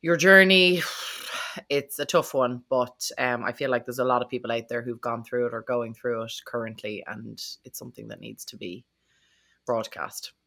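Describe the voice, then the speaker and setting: A woman hosts a casual podcast conversation, rambling and self-deprecating. Her voice sits low but has a bright, forward edge. She restarts sentences often and laughs at herself. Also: moderate pace, creaky. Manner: chatty, self-mocking, lots of fillers and restarts. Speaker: podcast host. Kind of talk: casual interview chat.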